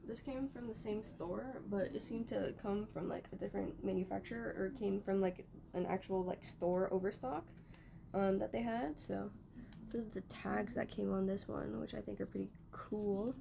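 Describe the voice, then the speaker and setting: A woman's voice: mid-range voice, steady tone, normal speed, gravelly. A young woman in her twenties vlogging casually in her bedroom, rambling and chatting to herself as she sorts laundry. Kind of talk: casual vlog narration. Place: small bedroom, indoors. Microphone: camera mic at a distance. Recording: noisy room recording from a camera mic.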